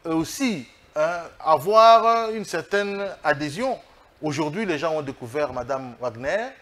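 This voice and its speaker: A man with a Congolese French accent, speaking as a political guest in an interview, arguing emphatically and haltingly, often repeating his phrases. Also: on the high side, a bit bright. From Democratic Republic of the Congo. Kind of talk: political interview, spoken argument.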